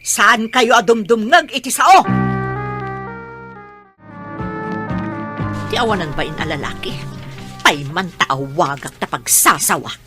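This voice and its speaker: A woman's voice: medium pitch, moderate pace, loud music behind the voice, close to an everyday voice. A woman playing an embittered, quarrelsome mother in a radio drama, with a scolding, indignant manner. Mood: furious scolding. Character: embittered, quarrelsome mother of two, separated from husband. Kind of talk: Ilocano radio drama acting.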